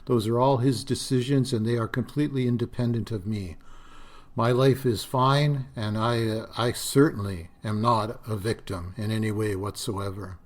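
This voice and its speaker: A man in his sixties hosting a solo podcast, talking in a rambling, reflective way with frequent fillers; his voice is slightly raspy and dry. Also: fairly low pitch, steady tone, normal speed. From Canada. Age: 60s. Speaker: podcast host.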